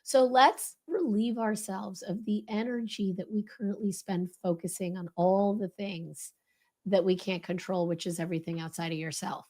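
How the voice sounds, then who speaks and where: Guest speaker, woman, hoarse and crackly today, brisk coaching delivery. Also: medium pitch, fairly even intonation, warm tone. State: hoarse, voice lost. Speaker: leadership consultant guest. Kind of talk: explaining a leadership framework.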